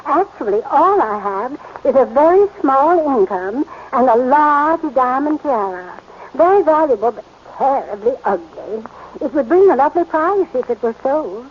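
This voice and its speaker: A woman's voice: high-pitched, very animated, moderate pace. An actress playing a genteel, easily flustered woman of seventy in a 1940s radio drama. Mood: fluttery. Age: 70s. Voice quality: fussy, flustered refrains. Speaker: elderly society lady asking for a theft. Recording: dull, lightly hissy old radio broadcast.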